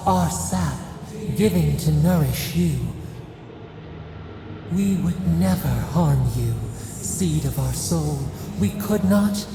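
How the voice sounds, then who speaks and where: Game role: ancient imploring deity, heavily creaking and unhurried, in vast reverb over music. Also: medium pitch. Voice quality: gravelly, creaky. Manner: slow, ceremonial, cryptic. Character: ancient trapped god, plural speaking, solemn and imploring. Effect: cavernous echo. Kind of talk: video game voice acting. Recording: long echoing reverb, music underneath.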